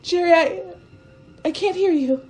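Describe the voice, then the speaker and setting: A woman's voice: very high-pitched, measured pace, over music. A woman playing a brave, tender young stowaway facing death in a radio drama, speaking in a wistful, hesitant, earnest way. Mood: distraught.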